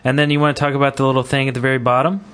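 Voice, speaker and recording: A man's voice: medium pitch, flat delivery, rapid-fire, a bit bright, smooth. A man co-hosting a gaming podcast in casual chat, talking in a hesitant, meandering way. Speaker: podcast co-host.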